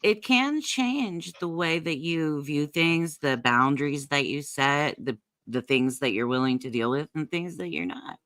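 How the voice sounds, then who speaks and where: A woman's voice: low-pitched, lively intonation, unhurried, few pauses, fairly bright tone, dry recording. A woman in her fifties chatting casually, agreeable and reflective. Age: 50s. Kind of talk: casual chat.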